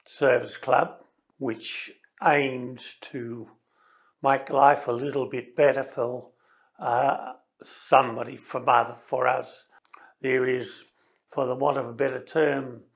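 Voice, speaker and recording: Slow, creaky older man. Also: medium pitch. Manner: deliberate, dry, matter-of-fact. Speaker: service club member interviewed. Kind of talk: reminiscing documentary interview.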